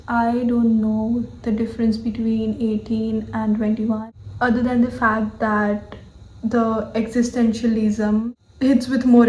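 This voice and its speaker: A woman's voice: on the high side, darkish tone, echoey room, poor audio. A woman in her twenties with an Indian English accent, thinking aloud candidly in a personal video journal, often saying "like" and "you know". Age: 20s. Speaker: vlogger.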